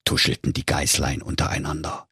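A man's voice: extremely low pitch, fairly even intonation, fairly quick, pushed and bright, husky, no echo, studio quality. A man telling a scripted comic fairy tale in a mock-solemn storybook manner.

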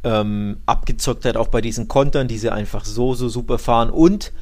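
A man's voice: mid-range voice, normal speed, darkish tone. A man chatting casually as a football podcast pundit, explaining and rephrasing his points in colloquial language.

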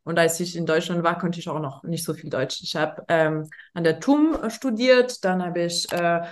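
Woman with a French accent, lowish voice. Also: normal speed. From France. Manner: thoughtful, hesitant with frequent fillers. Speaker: guest, startup founder. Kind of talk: casual interview talk.

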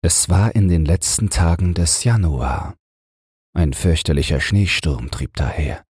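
A man narrating a fairy tale as a bedtime audiobook in a measured, soothing manner. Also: sits low, expressive, darkish tone, slightly rough, no room sound, studio quality.

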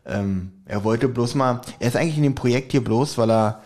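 Ein Mann, der als Co-Host locker und spöttisch in einem Podcast plaudert und dabei oft lacht. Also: male voice, medium pitch, brisk, darkish tone. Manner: laughs often, wry and teasing. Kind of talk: casual podcast chat.

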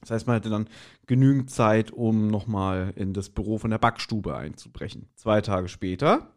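A man's voice: on the low side, moderate pace. A man chatting casually as a podcast co-host, recapping a story and often breaking into amused asides. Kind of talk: casual chat recapping and reviewing an audio drama.

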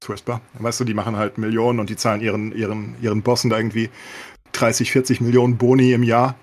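Man, very quick pace, slightly low voice, colloquial, opinionated, casual podcast talk. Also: little pitch movement, darkish tone. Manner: colloquial, opinionated, peppered with English words. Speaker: podcast co-host.

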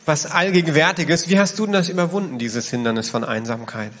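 A man leads an interview, probing and prompting his guest with follow-up questions. His voice sits somewhat high for a man, and a long hall reverb trails after each phrase. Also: a little fast, a bit bright. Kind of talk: interview conversation.

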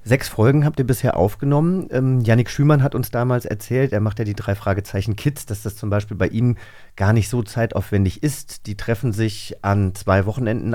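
A man co-hosting a radio drama podcast, telling anecdotes in a relaxed conversational way with many fillers. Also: lowish voice. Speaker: podcast co-host. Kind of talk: casual conversational chat. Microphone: studio microphone.

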